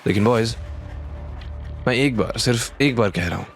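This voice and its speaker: A man voicing a dangerous, calm young fighter in an audio drama, stern and deliberate with a sarcastic edge. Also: medium pitch, soft background music, natural voice. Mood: low, warning.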